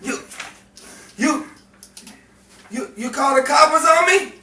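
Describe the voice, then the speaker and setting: A man's voice: very high voice, animated, slow, bright, forceful tone, pitched above the performer's own voice, exaggerated voice. A man playing an angry, betrayed gangster in an amateur 1950s crime drama. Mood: angry, accusing. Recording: echoey room, distant mic, clear noise.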